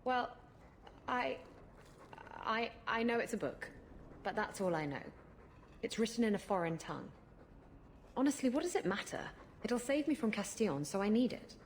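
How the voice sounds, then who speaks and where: A woman's voice: fairly high pitch, lively intonation, moderate pace, pauses often, slight background noise. An actress playing a sarcastic, evasive female pirate rogue. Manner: teasing, evasive.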